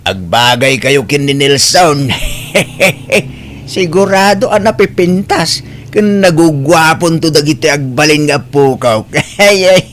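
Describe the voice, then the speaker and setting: A man's voice: fairly high pitch, animated, slow pace, fairly bright tone, a little hiss or hum. A man acting a jovial, welcoming older father in an audio drama.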